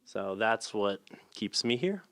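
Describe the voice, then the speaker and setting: A man's voice: fairly low pitch, very animated, slow, fairly bright tone. Upbeat man in his 30s, dorm head. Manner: upbeat, chatty. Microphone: handheld mic.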